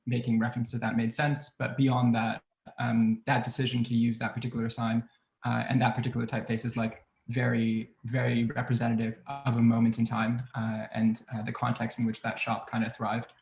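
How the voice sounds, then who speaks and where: A man's voice: medium pitch, completely flat delivery, a little fast, gravelly, darkish tone, roomy sound. A man, a book designer, explaining a design choice casually in a panel discussion, with many fillers.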